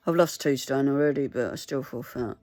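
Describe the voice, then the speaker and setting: Woman, Mandarin first language, halting and pleading, dry close sound. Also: extremely low pitch, darkish tone, no room sound. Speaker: vlogger. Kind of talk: casual talk to camera.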